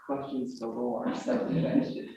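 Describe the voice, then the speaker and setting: A woman's voice: extremely low pitch, measured pace, muffled recording. A woman moderating a library event informally, heard from a distance in an echoey, noisy room. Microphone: distant room microphone.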